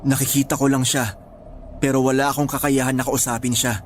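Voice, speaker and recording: A man's voice: mid-range voice, flat delivery, fairly quick, a little hiss or hum, lightly acted. A man with a Filipino accent acting an apologetic, consoling friend in a radio drama, speaking earnestly. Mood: uneasy, reassuring. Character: apologetic, consoling male friend who sees spirits.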